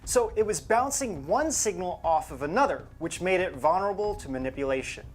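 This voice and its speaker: Podcast co-host reading out commentary, really animated up and down delivery, punches the quotes. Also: mid-range voice, brisk. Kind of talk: conversational commentary with scripted narration.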